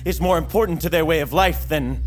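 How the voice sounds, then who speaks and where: An actor playing the proud, ambitious, defiant young son of a mountain ruler, speaking formally and insistently. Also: male voice, on the high side, fairly quick, faint music behind, natural voice. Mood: haughty, urgent. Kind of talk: fantasy audio drama acting.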